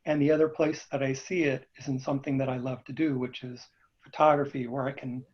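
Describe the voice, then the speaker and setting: A man joining a video call as a participant, sharing a thoughtful reflection in an unhurried way. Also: medium pitch, level tone. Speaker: workshop participant. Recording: dull lo-fi video call audio.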